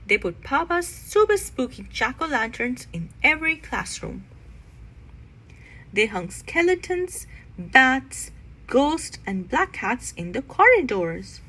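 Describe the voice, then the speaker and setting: A woman narrating a children's storybook expressively, with dramatic, deliberate phrasing. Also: high voice, sing-song, bright, forceful tone, low-quality recording. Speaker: children's read-aloud narrator.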